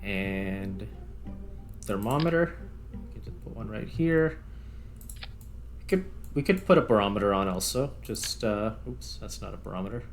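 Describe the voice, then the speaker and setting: A man's voice: animated, moderate pace, long pauses, soft background music. A man giving casual, unscripted gameplay commentary, thinking aloud and trailing off mid-thought as he plays. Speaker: host, gamer playing a space flight simulator. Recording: clear rumbling noise behind voice.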